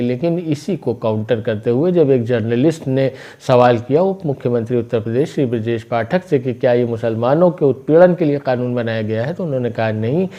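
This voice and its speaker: Mellow-voiced man presenting local news. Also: medium pitch. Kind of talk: explanatory news commentary.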